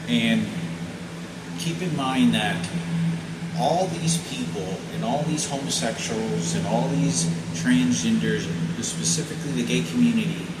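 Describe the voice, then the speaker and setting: A man in his forties recording a rambling personal video message, halting and repetitive, with many fillers and restarts. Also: mid-range voice. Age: 40s. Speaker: vlogger. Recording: echoey room, mic at a distance, loud background noise.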